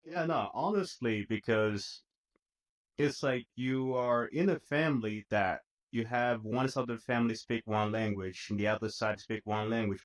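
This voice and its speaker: A young man speaks as a podcast guest with a French-influenced Congolese accent. His voice is fairly low, and he explains things in a casual, conversational way over a video call. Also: low-quality recording. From Democratic Republic of Congo. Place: office-like room, indoors.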